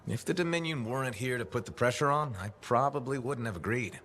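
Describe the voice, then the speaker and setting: A man acting as a steady, plain-spoken freelancer hero in a video game, direct and dryly amused. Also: medium pitch, fairly quick, lightly acted. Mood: earnest. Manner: direct, dry, questioning.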